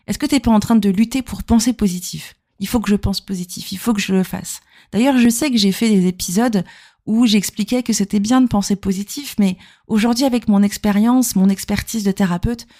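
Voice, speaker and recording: A woman's voice: mid-range voice, mellow. A woman from Normandy, talking informally as a podcast host and therapist, explaining things conversationally and addressing the listener directly. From Normandy, France. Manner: conversational, explanatory, punctuated with rhetorical questions.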